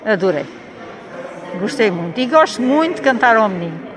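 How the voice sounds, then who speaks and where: A woman's voice: on the high side, big pitch swings, moderate pace, a crowd in the background. A woman in her sixties from Alentejo with an Alentejo Portuguese accent, chatting in an interview and repeating herself emphatically. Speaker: interviewee, choir singer. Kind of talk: casual interview chat.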